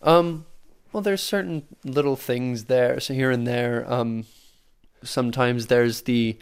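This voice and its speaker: A man explaining something in casual conversation, tentative and halting. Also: mid-range voice, creaky, clear, resonant tone.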